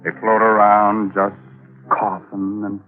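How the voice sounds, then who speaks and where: Really deep creepy 40s radio horror host, mock friendly, tinny old recording w music. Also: male voice, monotone, slow pace. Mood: macabre glee. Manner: mock-friendly, theatrical, chuckling gallows humor. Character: ghoulish, jokey male horror show host relishing the macabre. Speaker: host of a mystery show. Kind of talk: 1940s radio horror acting. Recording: muffled narrow-band 1940s radio, music behind.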